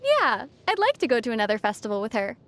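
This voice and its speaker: A woman playing a young female adventurer in a video game, gentle and fondly teasing. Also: fairly high pitch, huge pitch swings, fast, heavily acted. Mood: friendly. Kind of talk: video game character dialogue.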